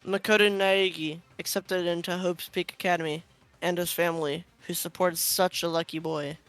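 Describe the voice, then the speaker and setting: A high, gleefully menacing mascot bear taunts with mock sweetness. It sounds like a video game villain in a fan dub, with music underneath. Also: male voice, little pitch movement, normal speed, a bit bright, clear, resonant tone. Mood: mock concern.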